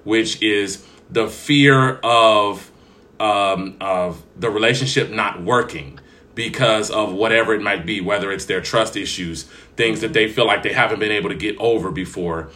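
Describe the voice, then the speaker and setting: A young man co-hosts a casual chat in a lowish voice with a bright edge. He speaks in long, measured turns and lays out his reasoning point by point. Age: young adult. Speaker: podcast co-host.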